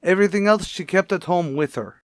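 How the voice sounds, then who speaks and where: A man's voice: high voice, brisk, dry recording, clean studio sound, natural voice. A man playing a mournful, emphatic restaurant boss answering police questions in a radio crime drama, plaintive and repetitive. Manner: emphatic, plaintive, repeats himself. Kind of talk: old-time radio crime drama acting.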